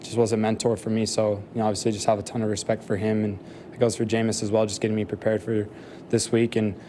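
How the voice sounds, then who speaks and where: Young athlete at the podium, soft-spoken, mellow low voice, lots of fillers. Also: male voice, flat delivery, quick pace, slight background noise. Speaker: football player at a press conference. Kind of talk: postgame press conference answers.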